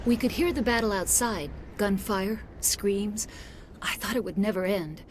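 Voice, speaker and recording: A woman's voice: mid-range voice, a little slow, slight background noise, lightly acted. An actress playing an earnest, shaken female research scientist in a video game, giving articulate accounts. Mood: haunted. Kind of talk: cinematic video game acting.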